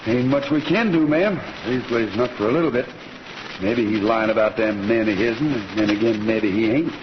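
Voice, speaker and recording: An actor playing a steady, laconic cowboy rancher in an old-time radio Western, speaking in terse, folksy lines. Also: male voice, lowish voice, moderate pace, natural voice. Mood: determined. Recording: noisy, muffled old radio recording.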